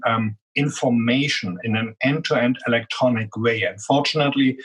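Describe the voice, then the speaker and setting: A man's voice: medium pitch, moderate pace, a bit bright, slightly rough, poor audio. A man with a strong German accent, speaking as a guest executive in an interview and explaining with frequent "um" fillers. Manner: many "um" fillers, earnest explaining. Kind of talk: business interview.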